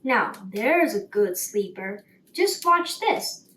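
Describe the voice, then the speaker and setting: A woman as a pompous, slow-talking sparrow. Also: medium pitch, big pitch swings, a bit bright. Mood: eager, showing off. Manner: pompous, explanatory. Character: self-assured male sparrow, a know-it-all inventor. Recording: roomy, distant mic, faint noise.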